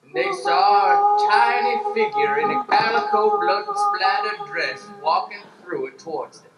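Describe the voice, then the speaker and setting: A man's voice: very high-pitched, lively intonation, unhurried, gravelly, over loud music. A man narrating an improvised Western radio play in mock-grand, wordy style, recorded on a noisy, echoey stage from a distance. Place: live improv stage with audience.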